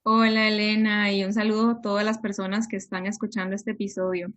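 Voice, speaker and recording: A young adult woman, a psychologist guest explaining things in a measured way in a podcast interview. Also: medium pitch, little pitch movement, a bit bright, highs cut off. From Peru, raised in Costa Rica.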